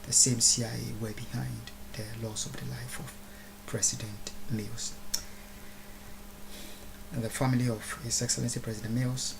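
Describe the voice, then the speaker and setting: A man from Ghana with a Ghanaian accent giving a solemn, formal, oratorical address, halting with long pauses and deliberate repeated phrases. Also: medium pitch, gravelly, noisy background. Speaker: speaker recording a video address. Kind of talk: solemn public address, unscripted.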